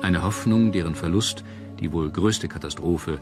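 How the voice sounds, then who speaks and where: A man narrates a documentary in a low-pitched voice. His scripted delivery is measured and formal, and music plays clearly behind him. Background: background music.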